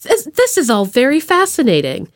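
A woman's voice: sits high, huge pitch swings, moderate pace. Woman hosting a casual podcast, playful, voice swings up and down a lot. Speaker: podcast host. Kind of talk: casual interview chat.

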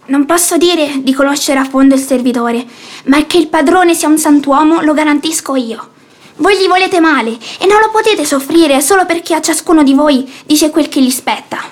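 A woman's voice: sits high, normal speed, lightly acted. An actress playing an imperious, sanctimonious old mother-in-law in a theatrical radio play, haughty and preachy. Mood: indignant.

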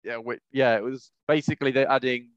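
A man's voice: medium pitch, steady tone, fairly quick, clean tone, no echo. British man, rambling, in dull lo-fi audio. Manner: rambling, self-correcting. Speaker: podcast co-host. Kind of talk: casual gaming podcast chat.

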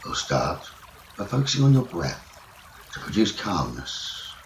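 A man leading a guided sleep meditation as a teacher, speaking in soothing, measured short phrases. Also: low-pitched, very animated. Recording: roomy echo, running water noise behind. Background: trickling stream water nature sounds.

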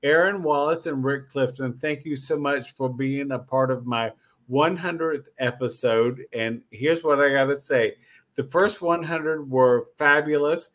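A man's voice: medium pitch, a little slow, a bit bright. A man hosting a podcast as a casual chat, effusive and joking, often repeating his phrases. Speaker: podcast host.

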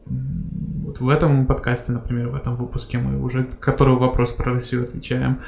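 A man's voice: mid-range voice, creaky, darkish tone. A young man from Belarus co-hosting a podcast in casual chat, hesitant and stumbling over his words. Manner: hesitant, stumbling, many fillers. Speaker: podcast co-host. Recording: echoey room.

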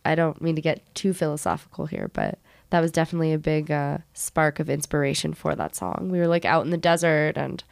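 A woman in a relaxed interview, talking through her songwriting in a loose, rambling way. Her voice sits a little low with a gravelly fry, and the sound is close and dry. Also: fairly quick, hardly any pauses, dry recording. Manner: rambling, reflective, many fillers. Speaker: band guitarist and songwriter. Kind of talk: casual interview chat.

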